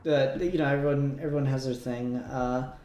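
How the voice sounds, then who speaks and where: A man's voice: mid-range voice, fairly even intonation, normal speed, darkish tone. Man in casual podcast chat, echoey room. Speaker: podcast co-host. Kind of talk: casual review discussion. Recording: roomy echo, noticeable background noise.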